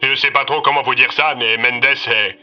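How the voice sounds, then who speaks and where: A man playing a police officer making an urgent, halting report over a radio in an audio drama. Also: medium pitch, steady tone, soft background music. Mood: grave. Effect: police radio filter. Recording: muffled, band-limited radio sound.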